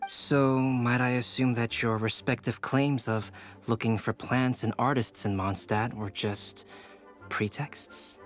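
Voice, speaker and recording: A man voicing a calm, courteous young alchemist and teacher in a video game, polite and inquisitive. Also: mid-range voice, takes it slowly, lightly acted. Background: game music.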